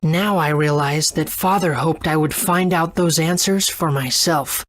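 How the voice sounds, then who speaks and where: Man playing an eager high-voiced young boy hero. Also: a little slow, fairly bright tone. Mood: bewildered. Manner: earnest, youthful, wondering. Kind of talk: English video game voice acting.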